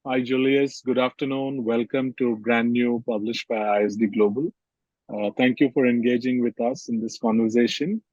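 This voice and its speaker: A man hosting an interview, asking questions in a polite, measured, formal way. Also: medium pitch, level tone, a little mellow, dry recording, lo-fi sound. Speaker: interview host.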